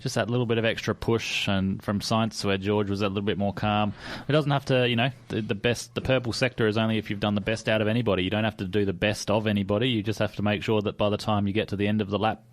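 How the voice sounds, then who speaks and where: A man, co-hosting a motorsport chat in a radio studio, steady with dry teasing. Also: lowish voice, quick pace, few pauses. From Australia. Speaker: co-host.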